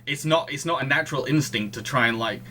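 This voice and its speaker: A man's voice: mid-range voice, quick pace, sharp tone, a little hiss or hum. A British man hosting a podcast, chatting casually and laughing often. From United Kingdom. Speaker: podcast host. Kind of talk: casual chat.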